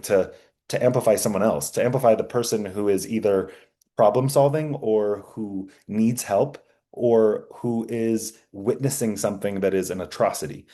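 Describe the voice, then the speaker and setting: A young man teaching a class, talking earnestly with many fillers, restarts and pauses. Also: fairly low pitch, mellow. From United States. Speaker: journalism instructor. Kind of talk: class lecture with slides.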